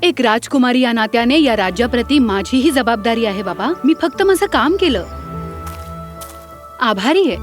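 An actress playing a proud, sharp-witted young princess, poised and assertive, in a fairy-tale cartoon. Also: female voice, on the high side, lively intonation, normal speed, music in the background, close to an everyday voice. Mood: gracious.

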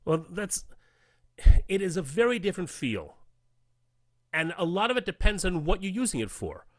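A man's voice: sits high, very animated, moderate pace, no room sound. A man talking casually as a guest in an interview. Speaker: panel guest.

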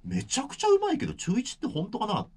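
Very animated, fast-talking man in his thirties. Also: high-pitched, husky. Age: 30s. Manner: polite but informal, enthusiastic. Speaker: music producer, radio corner co-host. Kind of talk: casual radio chat, telling anecdotes. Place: small radio studio. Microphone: studio microphone on a stand.

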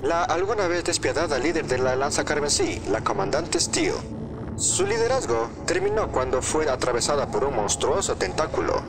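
A man's voice: on the high side, sing-song, moderate pace, gravelly, background noise. A man with a Latin American Spanish accent voicing a theatrical, macabre museum announcer, reading florid tour narration for a game dub. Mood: theatrical, presentational. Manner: florid, presentational.

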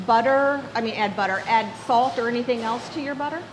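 A woman's voice: on the high side, fairly quick. A middle-aged woman hosting an interview, asking inquisitive questions conversationally and recapping what she hears. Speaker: interviewer, host. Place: farm and indoor creamery room. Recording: clear background equipment noise.

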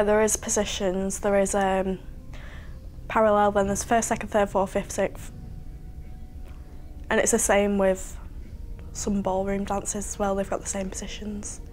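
A nineteen-year-old woman, chatting and laughing through a relaxed home interview. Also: mid-range voice, a little hiss or hum. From Leyland, Lancashire, England. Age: young adult. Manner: chatty, giggly, jokey. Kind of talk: casual interview chat. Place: living room at home, indoors.

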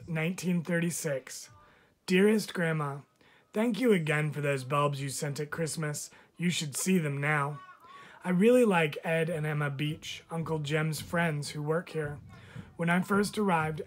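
A man, a teacher, reads a picture book of letters aloud with expressive, measured phrasing. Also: fairly high pitch. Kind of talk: storybook read-aloud of letters.

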